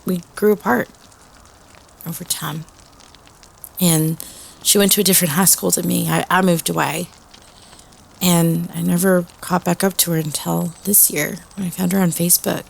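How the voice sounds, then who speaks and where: A woman acting as a student telling a ghost story at a campfire, with a husky voice and dramatic pauses. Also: lowish voice, fairly even intonation, full character voice. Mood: somber, eerie. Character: young woman student telling a spooky doll story, playful prankster. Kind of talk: audio roleplay campfire storytelling.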